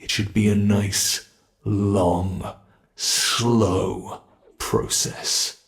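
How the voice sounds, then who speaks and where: A sadistic monster from under the bed, voiced by a British man, speaking in a gravelly, unhurried drawl. He lingers over every word, mocking and menacing his captive with slow relish. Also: fairly low pitch, flat delivery. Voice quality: gravelly, slow, drawn-out delivery. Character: cruel male monster under the bed, toying with prey. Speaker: ASMR roleplay performer. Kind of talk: ASMR horror roleplay.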